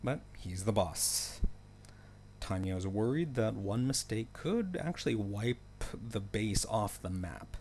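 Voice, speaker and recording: A man's voice: fairly low pitch, expressive, slow, darkish tone, a little hiss or hum. A man narrating a story recap in a casual, loosely scripted way, with wry joking asides.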